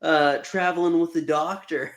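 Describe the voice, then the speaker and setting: A man's voice: on the high side, steady tone, normal speed. Chatty, wry podcast co-host, a man. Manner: chatty and wry, laughs mid-sentence, hesitant fillers. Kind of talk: casual review chat.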